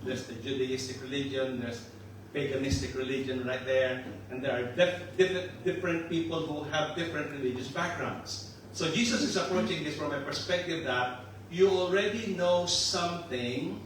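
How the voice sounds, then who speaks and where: An older man with a Filipino accent mixed with some Australian preaches in a loose, joking way. He is picked up from well off the mic, so the voice rings around a very reverberant room. A steady hiss of noise sits under it. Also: a little high, moderate pace, few pauses, recorded from a distance. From Philippines. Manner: joking and chatty, laughs often. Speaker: pastor. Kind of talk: casual sermon.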